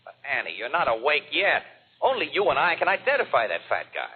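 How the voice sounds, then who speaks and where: An actor playing a brash, wisecracking crime photographer, eager and insistent, in a 1940s radio drama. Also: male voice, on the high side, huge pitch swings, a little fast, husky, phone-quality sound, full character voice. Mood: eager, persuasive. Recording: noisy, band-limited old radio recording.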